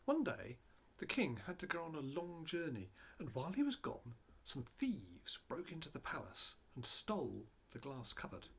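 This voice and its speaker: A man reading a story aloud in a theatrical, dramatic way, building and hushing for effect. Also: fairly high pitch, sing-song, normal speed. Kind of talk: animated dramatic storybook narration.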